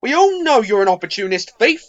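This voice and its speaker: A woman's voice: fairly high pitch, sing-song, brisk, lo-fi sound. A woman playing a hot-tempered, accusing passenger with a sarcastic, confrontational manner in an amateur radio play. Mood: angry.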